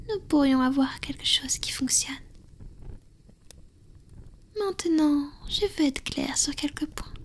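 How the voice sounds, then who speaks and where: Woman as whispering, high-voiced ancient vampire lover. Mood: earnest, tentative. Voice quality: soft, breathy whisper. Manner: slow, intimate, halting with pauses. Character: ancient powerful female vampire, tender lover, wise and hesitant. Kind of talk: whispered French ASMR romance roleplay.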